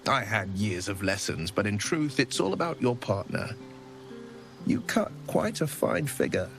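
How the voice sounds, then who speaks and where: A man's voice: on the low side, animated, measured pace, creaky, fairly bright tone, soft background music, full character voice. A man playing a gallant, modest adventurer of noble upbringing in a fantasy game, speaking in a courtly, earnest and slightly bashful way. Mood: playful, wistful. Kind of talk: cinematic fantasy game acting.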